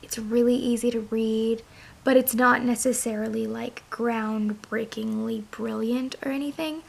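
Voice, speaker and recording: A young woman with a hoarse, raspy voice, chatting casually about books as a vlogger, with plenty of filler words. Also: a little high, fairly even intonation, measured pace. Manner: chatty, rambling, many "like" fillers. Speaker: book vlogger. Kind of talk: casual chat to camera.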